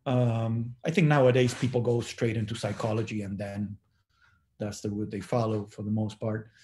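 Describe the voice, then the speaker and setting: A man's voice: on the low side, level tone, normal speed, a little mellow. A middle-aged man with an Argentine accent, a native Spanish speaker, looking back reflectively and searching for words as he goes. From Buenos Aires, Argentina. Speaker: interview guest. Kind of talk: reflective personal recollection.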